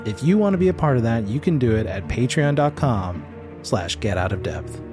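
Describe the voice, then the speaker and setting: A man's voice: medium pitch, lively intonation, moderate pace, over music. A man hosting and narrating a horror tabletop game as game master, with a suspenseful storytelling delivery. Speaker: game master and show host.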